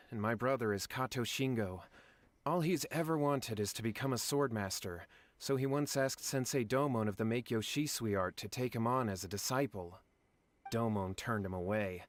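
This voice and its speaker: A man's voice: medium pitch, no echo, natural voice. An actor playing an anxious, deferential young man in a video game, speaking in an earnest, polite and imploring way. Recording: background music under the voice.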